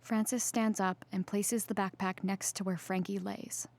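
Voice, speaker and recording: A woman reading scripted stage directions in a measured, neutral, descriptive manner. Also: medium pitch, flat delivery, darkish tone. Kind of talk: scripted narration, present-tense stage directions.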